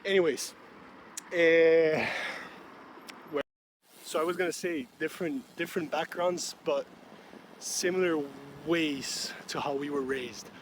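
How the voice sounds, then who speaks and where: A man's voice: a little high, a little slow, long pauses, low-quality recording. A young Brazilian man in his twenties, a native Portuguese speaker, talking in a casual, musing vlog and laughing often as he walks outdoors. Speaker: student vlogger. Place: city street outdoors. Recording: street noise behind the voice. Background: traffic and city street ambience.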